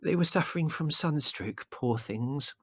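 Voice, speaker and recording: A man voicing a gentle, vague middle-aged mother who soothes and mildly scolds in a storybook. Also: on the high side, animated, a little slow, no echo, clean studio sound, voice pushed up. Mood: apologetic, firm.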